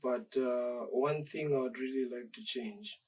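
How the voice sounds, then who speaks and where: A young man from Zambia, a dancer being interviewed, speaking in a measured, reflective and polite way. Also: medium pitch, darkish tone, breathy edge, far from the mic, low-quality recording. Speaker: dancer guest. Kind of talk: interview conversation.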